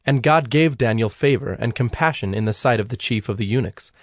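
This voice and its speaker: A man narrating a scripted, dramatized scripture reading in a measured, solemn manner. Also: mid-range voice, dry recording, studio quality. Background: instrumental music.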